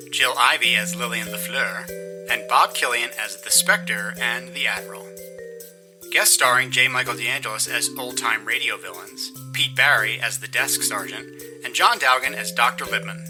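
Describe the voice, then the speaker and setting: A man delivers scripted credits in a mid-pitched announcer voice. His delivery is dry and deadpan, with music playing clearly behind him. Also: moderate pace. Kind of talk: scripted narration with deadpan humour.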